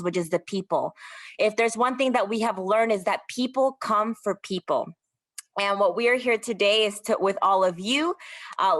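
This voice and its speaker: A young woman from California opens an online session over a video call. She talks upbeat and warm-hearted, stretching out key phrases for emphasis, with a few "um"s along the way. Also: mid-range voice. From California, USA. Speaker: workshop host. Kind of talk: welcoming webinar host.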